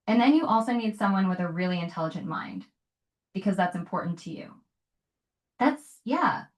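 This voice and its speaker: Calm measured woman giving advice. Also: mid-range voice. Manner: calm, measured, paraphrases and asks follow-up questions. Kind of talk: conversational advice.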